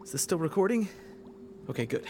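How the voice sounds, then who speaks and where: A man playing an embattled, wry and excitable space fleet lieutenant in an audio drama, talking in a hesitant, urgent mutter. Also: fairly high pitch, fairly quick, noisy background. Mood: puzzled. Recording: loud engine-like ship ambience behind.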